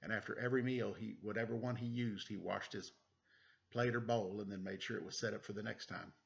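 A man in his forties doing a casual story time, chatting and reading aloud in a playful, expressive way. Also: lowish voice, a little fast, creaky. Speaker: storyteller reading a picture book to children. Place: living room at home. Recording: dull, lo-fi webcam sound.

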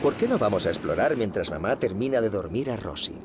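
A man playing a patient, cheerful young father in a Castilian Spanish cartoon dub, explaining things gently and encouragingly. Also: lowish voice, big pitch swings, brisk, heavy background noise. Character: patient, cheerful young dad of a small boy. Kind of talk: children's cartoon dubbing.

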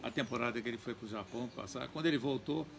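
An older man reminiscing haltingly about an old friend, seated outdoors. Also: mid-range voice, gravelly, background noise. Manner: halting, repeating words as he recalls. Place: outdoor garden.

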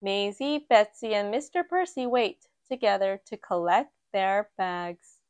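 Slow, gentle woman reading a storybook. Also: mid-range voice, clear, resonant tone, dry recording. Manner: slow, gentle and expressive, lifting for quoted lines. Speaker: children's book reader. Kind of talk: read-aloud storytime narration. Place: indoors at a table, book filmed from above.

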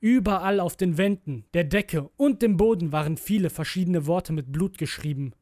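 A man's voice: high voice, moderate pace, darkish tone, dry recording, studio quality. A man narrating a scripted horror story in a brooding, theatrical manner. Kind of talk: dramatic scripted narration of a creepypasta.

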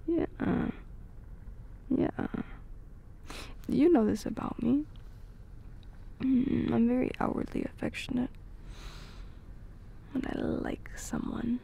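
A woman's voice: on the high side, slow, long pauses, warm tone, a little hiss or hum. A woman playing a playful, affectionate girlfriend in an intimate ASMR roleplay, giggly and slipping into whispers. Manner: breathy giggles, dropping into whispers.

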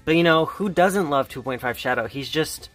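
A man's voice: fairly high pitch, expressive, moderate pace, fairly bright tone, soft background music. A teenage boy talking casually and offhandedly while reviewing toys, his hands handling them on a table. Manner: chatty, offhand. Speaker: toy reviewer. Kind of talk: casual toy review vlog.

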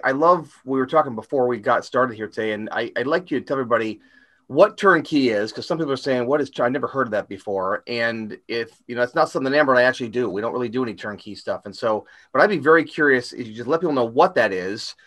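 Fast-talking, bright-voiced male host. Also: mid-range voice. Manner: energetic, talkative, rambling. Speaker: podcast co-host. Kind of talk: casual interview hosting.

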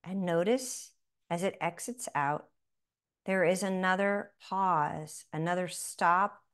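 A woman guiding a breathing meditation, speaking softly with long pauses between phrases. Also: on the low side, smooth. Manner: slow, soft-spoken, with long pauses. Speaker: meditation guide.

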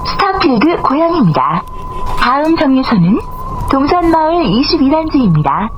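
A woman reading scripted bus announcements in a formal, clearly enunciated way, on noisy, muffled audio. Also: sits high, big pitch swings, normal speed. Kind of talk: scripted public transit announcement.

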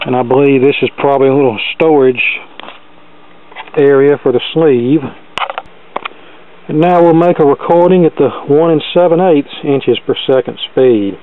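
A man's voice: medium pitch, narrow, tinny audio. A plain-spoken, folksy man casually demonstrating a gadget as host, recorded on a camera's built-in microphone. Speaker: host demonstrating vintage electronics. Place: cluttered indoor workbench.

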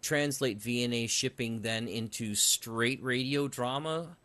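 A man's voice: mid-range voice, unhurried, bright, forceful tone, dry recording. A man interviewing guests conversationally as a host, thinking aloud in long, rambling questions.

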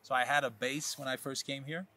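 Bright easygoing man, French native. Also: medium pitch, steady tone, fairly quick. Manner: easygoing, encouraging interviewer. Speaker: co-host, real estate agent. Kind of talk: casual chat. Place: outdoors on a hillside terrace.

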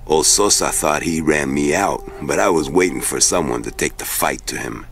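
A man playing a confident gravel-voiced Miami drug supplier in a crime video game. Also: normal speed, slight background noise. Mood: assured, conspiratorial. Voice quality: gravelly, very deep.

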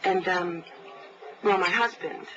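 Anxious female client, audio drama, chatty, apologetic, halting, muffled noisy recording. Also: on the low side. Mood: nervous, hesitant. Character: nervous, chatty wife and mother, investigator's client. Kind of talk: naturalistic audio drama dialogue. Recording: lo-fi tape, noisy cafe.

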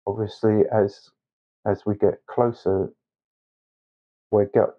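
A man narrating a painting tutorial step by step as a tutor, unhurried and with hesitant pauses. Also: on the low side, level tone, highs cut off. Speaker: watercolour tutor.

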